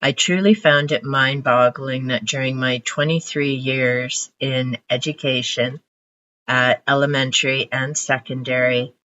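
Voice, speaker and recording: Older woman book vlogger, Hungarian first language, unhurried reflective delivery, lo-fi sound. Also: a bass voice, fairly even intonation, fairly bright tone. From Canada. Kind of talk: casual book talk to camera. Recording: muffled lo-fi audio.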